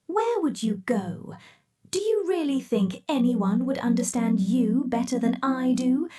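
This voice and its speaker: A woman performing an ASMR roleplay as a possessive, controlling scientist who addresses the listener in a soft, slow, menacing way. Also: a little high, expressive.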